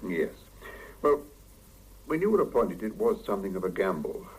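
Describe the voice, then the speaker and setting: An actor playing a stern middle-aged boss in a 1970s radio drama, clipped and authoritative. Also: male voice, deep voice, big pitch swings, moderate pace, husky, full character voice. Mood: measured, formal. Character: stern middle-aged male laboratory boss, brisk and exacting. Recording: muffled 1975 radio drama cassette recording.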